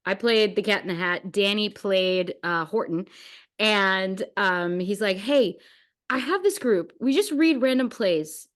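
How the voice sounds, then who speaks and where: A woman hosting a podcast, chatting casually in a gushing, animated and giggly way. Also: medium pitch, a little slow. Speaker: podcast host. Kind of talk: casual enthusiastic chat.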